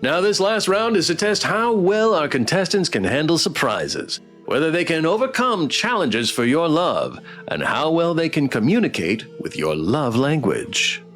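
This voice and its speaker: A campy spooky game-show host, voiced by a man, teasing the contestants with big theatrical flourishes. The pitch swings widely, with music playing underneath. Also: sing-song, measured pace, hardly any pauses, fairly bright tone, full character voice. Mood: showy teasing.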